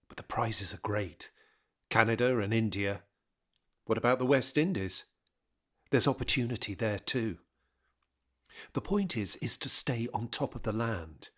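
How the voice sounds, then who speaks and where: A man narrating a scripted period drama in a measured, rhetorical manner. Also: medium pitch.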